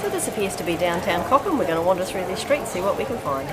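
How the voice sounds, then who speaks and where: A woman's voice: on the low side, very animated, fast talker. A middle-aged woman with a New Zealand accent, chatting casually as a travel vlogger. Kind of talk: casual chat to camera. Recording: noisy outdoor and vehicle location sound.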